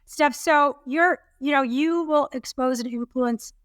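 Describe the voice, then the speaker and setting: High-voiced woman in her fifties, chatting. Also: unhurried, no room sound, studio quality. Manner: warm encouraging, rambling advice. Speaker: podcast co-host. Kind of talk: casual chat.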